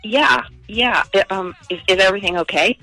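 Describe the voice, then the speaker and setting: A woman's voice: mid-range voice, moderate pace, sharp tone, no room sound, soft background music, rough recording. Flustered stammering woman on the phone. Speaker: prank call victim. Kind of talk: phone conversation.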